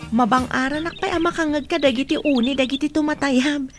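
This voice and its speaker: A woman's voice: high voice, fairly quick, faint music behind, natural voice. A woman playing a friendly, appreciative visitor on holiday in a radio drama. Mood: wistful, delighted. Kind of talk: Ilocano radio drama acting. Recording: noisy bed of bird and insect sounds.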